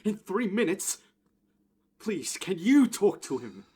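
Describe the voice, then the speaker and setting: Anxious male passenger, played by a man for audio drama: slightly high, very animated pitch, halting, flustered pleading. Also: husky, exaggerated voice. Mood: panicked, pleading. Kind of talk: amateur audio play acting.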